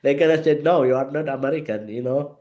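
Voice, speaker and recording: A man from Yemen, a guest speaker whose first language is Arabic, talking over a video call in halting English full of fillers and restarts. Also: on the high side, mellow, dull audio.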